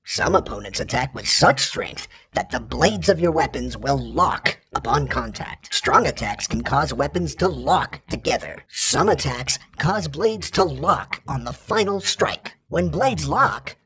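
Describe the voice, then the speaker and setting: An actor voicing an exacting combat training droid in a video game, formal and impassive, with a gravelly, husky robotic voice. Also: male voice, on the high side, expressive, measured pace, hardly any pauses, a bit bright, full character voice, poor audio. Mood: formal, instructive. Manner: formal, impassive, precise, instructional. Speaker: combat instructor. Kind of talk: scripted video game tutorial lines.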